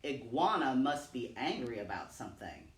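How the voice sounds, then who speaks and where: A man's voice: medium pitch, big pitch swings, moderate pace. Man as an uneasy python, echoey. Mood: worried, suspicious. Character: suspicious, uneasy male python. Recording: roomy, echoing, distant microphone.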